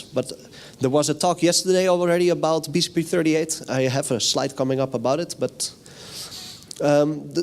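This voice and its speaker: A man's voice: a little high, darkish tone. Dutch man giving a casual tech talk. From Netherlands. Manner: casual, chatty, asks the audience questions. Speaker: conference speaker. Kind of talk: technical conference talk. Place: hotel conference room.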